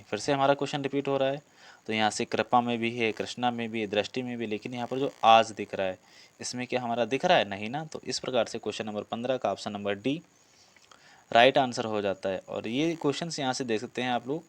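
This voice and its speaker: A man teaching an exam-prep class, reading out questions and explaining answers in an instructional, repetitive way, addressing students directly. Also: medium pitch. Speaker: Hindi grammar teacher for police exam students.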